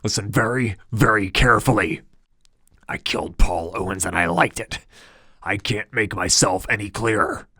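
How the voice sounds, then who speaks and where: A man voicing an unhinged young yuppie who talks in a tense, emphatic, shouting way. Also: fairly low pitch, normal speed, no room sound, clean studio sound, voice pushed up. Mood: frantic. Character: unhinged young male yuppie, desperate and intense.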